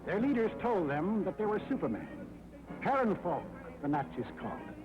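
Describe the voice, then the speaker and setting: A man's voice: high-pitched, sing-song, brisk. Emphatic American man narrating old wartime newsreel. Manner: dramatic, emphatic, rhetorical delivery. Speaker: documentary narrator. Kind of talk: 1940s wartime propaganda film narration. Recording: muffled old film soundtrack with music.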